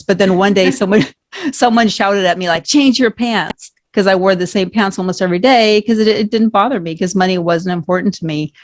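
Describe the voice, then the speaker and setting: A woman's voice: fairly low pitch, dry recording. A woman from Norway, a guest on an interview podcast, talking candidly and rambling a little. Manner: candid, rambling, laughs often. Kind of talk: casual interview talk.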